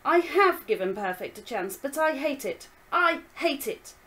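A woman voicing an upset young girl who pleads and shouts at her father in a storybook reading. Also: very high-pitched, wildly swooping pitch, unhurried, voice pushed up, heavily acted. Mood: angry.

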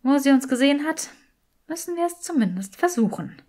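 A woman's voice: high voice, sing-song, fairly quick, darkish tone, voice pushed up. A woman voicing a clever, earnest young witch with teasing wit in an audiobook, matter-of-fact in manner.

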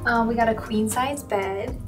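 Young female vlogger, a touch high-pitched, bubbly and casual, over background music. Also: normal speed. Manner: bubbly, showing things off. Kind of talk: casual travel vlog chat. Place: aboard a cruise ship, in a cabin.

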